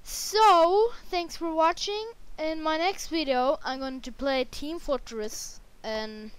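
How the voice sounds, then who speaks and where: A young woman from Norway giving casual commentary while playing a game, excitable and yelping when she crashes. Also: sits high, takes it slowly. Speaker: gamer. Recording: loud background noise.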